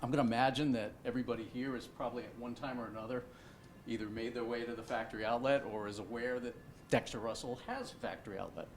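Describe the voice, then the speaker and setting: Soft-spoken man at a meeting. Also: mid-range voice, steady tone, quick pace, slight background noise. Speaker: factory outlet staffer. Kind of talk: public talk at a meeting.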